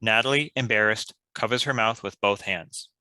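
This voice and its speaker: A man's voice: fairly low pitch, normal speed, sharp tone, clear, resonant tone, no room sound. A man reading scripted stage directions as the narrator.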